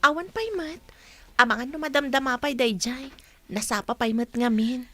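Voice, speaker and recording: An actress playing a shy, hardworking young woman office worker in an Ilocano radio drama, speaking softly and modestly. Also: sits high, moderate pace. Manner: soft-spoken, modest.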